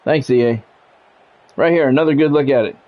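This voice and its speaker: A man's voice: medium pitch, fairly quick. Middle-aged man, dull lo-fi stream sound. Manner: blunt, profane, conversational. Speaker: game streamer and play-by-play commentator. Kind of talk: live football video game commentary mixed with casual chat.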